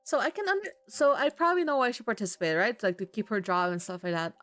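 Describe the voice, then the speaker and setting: A woman's voice: medium pitch, fast talker, a bit bright, dry recording, faint music behind. A woman streaming a visual novel, reading the game's dialogue aloud and reacting casually.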